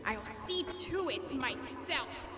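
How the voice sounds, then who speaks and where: A woman's voice: high voice, expressive, slow pace, sharp tone, full character voice. A woman voicing a haughty, scornful, possessive female villain in an audio drama monologue, disdainful and coldly menacing, drenched in reverb. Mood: indignant, menacing. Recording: echoing hall, distant, over music.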